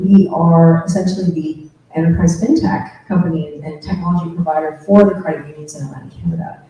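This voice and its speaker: A woman executive speaks on a panel in a matter-of-fact way, with a touch of humor and a very dark, warm tone. She sounds distant in a very echoey room, with a faint hiss. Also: fairly low pitch. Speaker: technology company CEO. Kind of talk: panel discussion.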